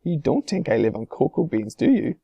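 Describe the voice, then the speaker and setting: A man's voice: fairly high pitch, sing-song, a little fast, gravelly, warm tone, husky, dry recording, pitched above the performer's own voice, exaggerated voice. A man voicing a whimsical, eccentric candy maker who talks airily. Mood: breezy.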